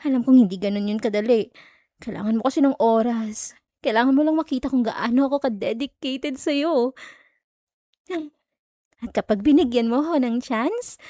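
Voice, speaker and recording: A woman plays a high-voiced yandere stalker in an ASMR roleplay, recorded close and dry. She is possessive and sweetly menacing, coaxing her listener with a giggly, halting delivery. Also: darkish tone, no room sound. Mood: obsessive, coaxing. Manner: coaxing, giggly, veiled threats, halting stammers.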